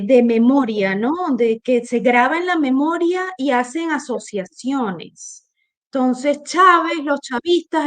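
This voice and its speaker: A woman from Venezuela with a Venezuelan accent, co-hosting a political discussion in an emphatic, opinionated way, often restarting her phrases. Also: sits high. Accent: Venezuelan Spanish. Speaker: co-host.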